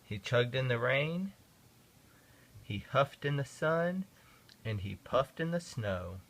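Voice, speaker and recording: A father reading a children's picture book aloud in an expressive, sing-song way. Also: medium pitch, takes it slowly. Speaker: father reading to his kids.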